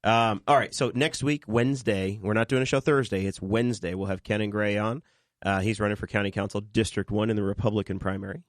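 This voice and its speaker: A man with a cold hosts a casual local podcast, speaking fast in a lowish voice. He rambles and restarts often. The sound is dry and close. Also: fairly even intonation, no room sound. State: fighting a cold. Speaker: podcast host. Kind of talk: casual chat.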